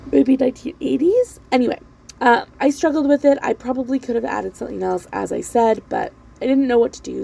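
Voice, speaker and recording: Croaky, gravelly woman vlogger. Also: a little high, warm tone. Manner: rambling, self-deprecating, chatty. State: recovering from laryngitis. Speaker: planner vlogger. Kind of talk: casual voiceover narration. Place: home. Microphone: voiceover mic.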